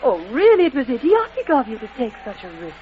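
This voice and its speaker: Spirited young lady, lively lilting delivery. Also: high-pitched, fast, slight background noise. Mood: friendly, breezy. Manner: playful, genteel. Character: spirited, friendly, forthright young lady on holiday. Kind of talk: old-time radio drama acting. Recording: dull old radio recording.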